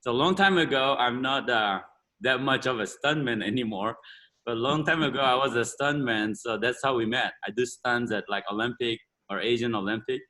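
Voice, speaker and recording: Fast-talking earnest man, bright voice. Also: medium pitch. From India or Burma. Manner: quick, earnest, broken English with many "you know"s. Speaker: martial arts school owner. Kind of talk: casual interview talk.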